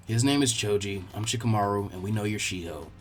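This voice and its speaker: A man voicing a laid-back, sceptical young ninja boy with a low-key, unimpressed delivery in a story reading. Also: a little fast, close to an everyday voice. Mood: flat, casual.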